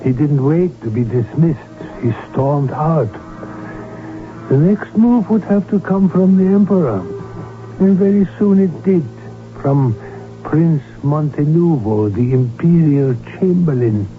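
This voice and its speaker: An actor playing a sober, concerned royal physician who tells the tale in a radio drama, measured and formal. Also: male voice, a little high, expressive, faint music behind. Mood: grave narrating. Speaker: narrator and physician character. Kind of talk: 1970s radio drama narration and acting. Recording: tinny old radio recording.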